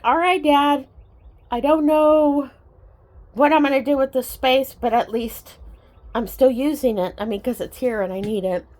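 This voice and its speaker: Slow-paced, higher-voiced woman reminiscing. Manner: reflective, sentimental, halting. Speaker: storytelling vlogger. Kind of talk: personal reminiscing to camera, casual chat.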